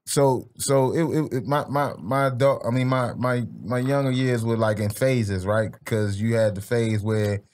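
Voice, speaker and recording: American guy from the dc area on a podcast, slangy, repeats himself, mid pitch. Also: normal speed, few pauses, darkish tone. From Maryland and Washington DC area, US. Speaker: podcast guest, music producer. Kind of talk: casual chat. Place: small podcast set indoors. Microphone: podcast microphone.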